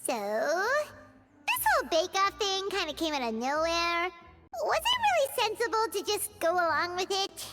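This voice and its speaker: Brief: a tiny, squeaky fairy sidekick, lively and nosy, in a video game. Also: female voice, exaggerated voice. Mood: curious. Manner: chirpy, quick to react and question. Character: tiny excitable fairy companion, girl-like. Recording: game audio with music behind.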